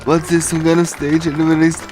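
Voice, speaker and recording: Male streamer, slightly higher-pitched, casual halting read-aloud of a visual novel, light music behind. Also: flat delivery, crowd noise, rough recording. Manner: halting, pieced-together delivery. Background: game music.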